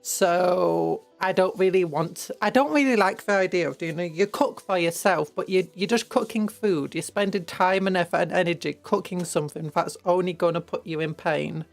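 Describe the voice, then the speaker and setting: A young British woman chatting on a livestream, her voice a little croaky. She rambles playfully, stumbling over restarts and now and then breaking into squeals. Faint music plays behind her. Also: on the low side, normal speed, few pauses. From Britain. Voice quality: croaky from hay fever. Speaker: streamer painting miniatures. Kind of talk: casual livestream chat.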